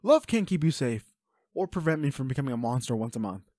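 A protective, self-loathing werewolf boyfriend acted by a man in an intimate audio drama. He speaks in a mid-pitched male voice, urgent and emotional, in a very dry recording with no room around it. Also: big pitch swings, fairly quick, warm tone, no room sound, close to an everyday voice. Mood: anguished, firm. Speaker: audio roleplay voice actor. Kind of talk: intimate dramatic roleplay acting.

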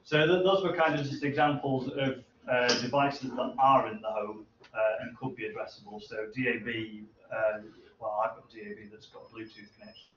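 A man's voice: mid-range voice, fairly even intonation, a little fast, hardly any pauses, far from the mic. A man in his thirties answering audience questions as a seminar presenter, explaining things informally and hesitantly. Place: university seminar room, indoors. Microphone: distant camera microphone. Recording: echoey room from afar, dull, hissy.

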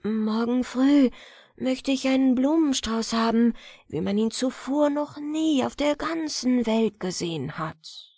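Woman reading as slow, modest storybook lad Ivanko. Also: high voice, animated, warm tone, dry recording, clean studio sound, heavily acted. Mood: earnest, pleading. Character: Ivanko, young gardener's lad, modest, earnest. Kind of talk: fairy-tale audiobook character.

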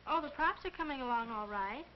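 A polite, sensible teenage girl in a 1940s social guidance film. She speaks high and courteously, over a muffled soundtrack. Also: lively intonation, fairly quick, a little hiss or hum. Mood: polite, hesitant. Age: young adult. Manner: neat, courteous. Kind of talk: 1940s instructional film acting.

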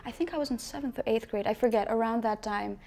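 Young woman from Nepal, gravelly edge. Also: on the high side, quick pace, dark tone. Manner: wry, self-mocking, laughing storyteller. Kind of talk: casual interview chat. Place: dark studio set, indoors.

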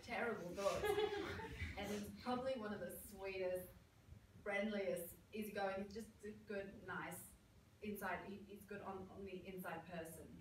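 A woman's voice: fairly low pitch, close to an everyday voice. Woman playing a candid 20-year-old student. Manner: candid, self-mocking, chatty. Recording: echoey room, distant camera mic, background noise.

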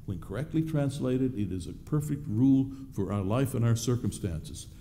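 Older preacher, very mellow voice. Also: male voice, mid-range voice, animated, a little hiss or hum. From Southern United States. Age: 60s. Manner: halting, deliberate, with repeated words and pauses. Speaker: pastor preaching. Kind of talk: sermon, explanatory. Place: church sanctuary, at the pulpit.

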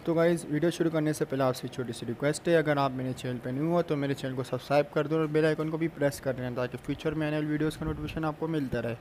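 A man's voice: fairly high pitch, steady tone, rapid-fire, few pauses, darkish tone, a little hiss or hum. A young man doing casual gaming commentary, speaking informally and directly to his viewers. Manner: addresses viewers informally and repeatedly. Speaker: gaming YouTuber. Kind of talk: casual gameplay news commentary. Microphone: phone screen recorder voice-over.

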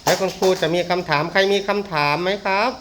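A man's voice: high-pitched, fairly even intonation, quick pace. Male teacher prompting young pupils. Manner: instructive, prompting with questions. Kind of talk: addressing young pupils after a storytelling. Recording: faint background noise.

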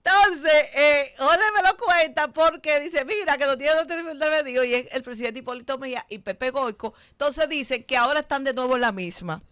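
A woman's voice: high-pitched, brisk, few pauses, no echo. A woman in her fifties with a Dominican accent, hosting a late-night studio talk show, brash and profane. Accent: Dominican Spanish. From Dominican Republic. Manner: brash, profane and scolding, loud bursts. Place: TV studio set. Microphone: desk mic on stand.

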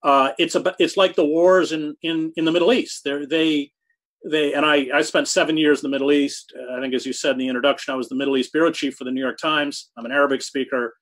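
Older man, fairly high voice, halting restarts, emphatic, video call from a home study. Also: steady tone, a bit bright. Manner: thoughtful, halting restarts, strong emphatic words. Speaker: guest, journalist. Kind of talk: conversational interview answers. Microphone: video call webcam.

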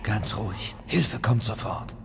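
Stoic grieving bodyguard in radio play, slow and terse, big echoing hall. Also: male voice, fairly low pitch, gravelly, slight background noise. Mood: grave, subdued. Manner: terse, subdued. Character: grieving, stoic male bodyguard, quiet and loyal. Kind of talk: German audio drama acting. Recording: long hall reverb.

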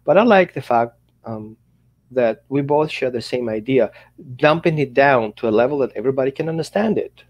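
Casting note: Greek-accented man in his fifties, animated pitch, casual video-call chat, non-native phrasing. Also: a little fast, lo-fi sound. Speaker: dog trainer, co-host. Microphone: headset on a video call.

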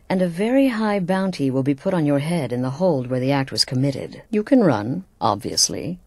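An actress playing a poised, seductive leader of an assassins' guild in a video game, measured and knowing. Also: female voice, low voice, a little mellow, no echo, full character voice.

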